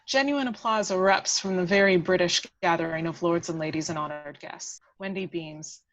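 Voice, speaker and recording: A woman's voice: lowish voice, normal speed, a little hiss or hum. A woman reading dramatic action descriptions as scripted narration at a table read, on a lo-fi recording.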